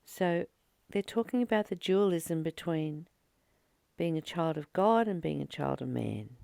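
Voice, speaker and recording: A woman reading a spiritual study text aloud and explaining it informally, in a halting, reflective way with pauses between short phrases. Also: deep voice, vocal fry, dark tone, no room sound. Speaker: spiritual study reader and commentator. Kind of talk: slow read-aloud study with informal commentary.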